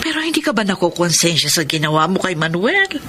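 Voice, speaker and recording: A woman's voice: on the low side, fairly quick, a little hiss or hum. An actress with a Filipino accent playing a middle-aged mother in a radio soap opera, fretful, concerned and admonishing toward her grown daughter. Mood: reproachful, worried. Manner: expressive, sighing, fretful, admonishing. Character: concerned, reproachful older mother counselling her married daughter.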